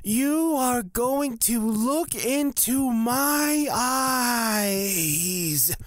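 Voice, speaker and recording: A woman playing a creepy, intense blood witch and cleric of fear in a fantasy audio drama, deliberate and menacing. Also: fairly high pitch, expressive, no room sound, clean studio sound.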